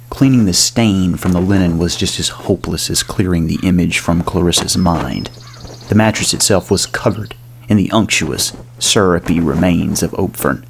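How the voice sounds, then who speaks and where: A man narrating a horror story in a measured, literary and ominous storytelling manner. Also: on the low side, a little hiss or hum. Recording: music behind the voice. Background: soft music.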